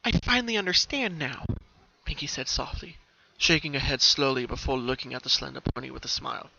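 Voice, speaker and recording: A man reads a dramatic story as narrator, earnest and theatrical. His voice is bright and forceful at an ordinary male pitch. The sound is dull and lo-fi, with noise behind him. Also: flat delivery, fast talker, gravelly, no echo. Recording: dull lo-fi recording, background noise.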